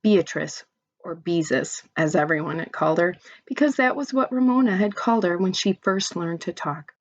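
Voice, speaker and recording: Lady doing an expressive kids story read-aloud, chatty with the little listeners, kinda lo-fi and dry. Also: on the low side, dry recording. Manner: chatty asides with questions to young listeners. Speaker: teacher or parent reading aloud to children.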